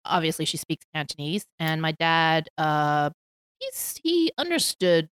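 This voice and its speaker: A woman's voice: deep voice, sing-song, unhurried, a bit bright, clean tone, dry recording. A woman from Hong Kong with an American accent, chatting as a podcast guest and laughing often between phrases. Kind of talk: casual conversation.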